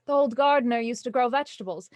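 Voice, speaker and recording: Woman acting a genteel heiress, high voice, dry close sound, proper, polite, anxious, easily flustered, period radio mystery. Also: fast, dry recording, lightly acted. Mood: polite, uneasy. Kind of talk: audio drama acting, Victorian murder mystery radio program.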